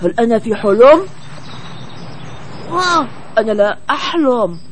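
High-voiced earnest cartoon bird. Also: male voice, animated, a little slow, noisy background. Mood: distressed, pleading. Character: earnest, put-upon male cartoon bird hero. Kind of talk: dubbed children's cartoon acting.

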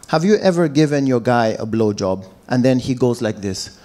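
A young man performing a stand-up set on a club stage into a handheld mic, animated and switching between voices. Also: mid-range voice, normal speed. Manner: animated, slips into Romanian voices. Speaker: stand-up comedian. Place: comedy club stage with live audience.

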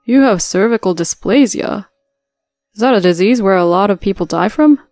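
A woman's voice: medium pitch, lively intonation, moderate pace, a little mellow, no room sound, faint music behind, lightly acted. A woman voicing a callous, selfish young husband who talks down to his wife in a dismissive, demanding way.

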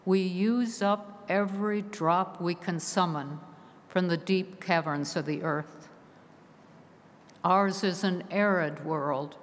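A woman preaching a solemn sermon from a pulpit, measured and slow, her phrases sinking at the ends. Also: lowish voice. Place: large stone church, from a pulpit. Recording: long church reverb heard from afar.

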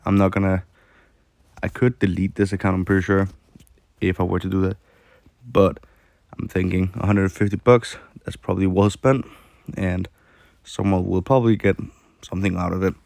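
A man with a sore, hoarse throat, rambling through casual commentary while playing a mobile game, with lots of fillers and restarts. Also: low-pitched, darkish tone, no echo, studio quality.

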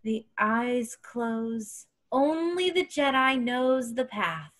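A woman's voice: a little high, lively intonation, fairly bright tone. A woman playing a cryptic alien seer who speaks in solemn, oracular broken phrases. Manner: slow, oracular, broken syntax.

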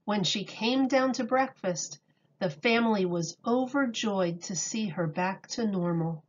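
A woman reading a picture book aloud to children like a teacher, deliberate and expressive. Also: mid-range voice. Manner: deliberate, expressive, explains words for young children. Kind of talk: read-aloud storybook narration. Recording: dull lo-fi recording.

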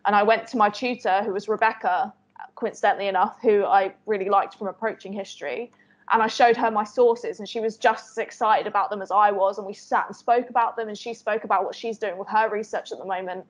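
A young woman speaks on a video call from a small bedroom. She talks earnestly about her studies in a mid-pitched voice, leaning on "like" as she goes. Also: steady tone, brisk. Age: young adult. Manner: earnest, frequent "like" fillers. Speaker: history student panelist. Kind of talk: casual chat on video call. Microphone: laptop webcam on video call.

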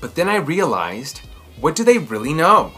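A man reads a picture book aloud for children with big, emphatic expression. His pitch moves in lively sweeps and his tone is bright and forward. Soft music plays behind him. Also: normal speed. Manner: theatrical, emphatic delivery. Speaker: children's storytime host. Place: small furnished room at home, indoors.

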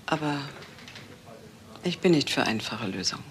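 A woman's voice: a bass voice, animated, normal speed. A disdainful wife in a radio crime play. She answers with dry, haughty restraint, with faint noise behind her. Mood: cool, disdainful. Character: cool, disdainful doctor's wife under suspicion. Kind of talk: German radio crime drama acting.

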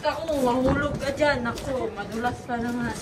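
High-voiced woman, distant, echoey. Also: a little fast, noisy background. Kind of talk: casual chat while walking.

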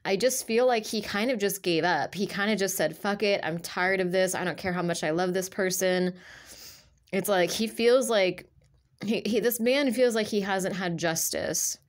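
A woman's voice: medium pitch, normal speed. A woman with a stuffy nose from allergies, reading tarot cards aloud in a loose, rambling way, full of fillers and restarts. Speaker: tarot reader. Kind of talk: casual tarot reading monologue. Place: indoors at a table of tarot cards.

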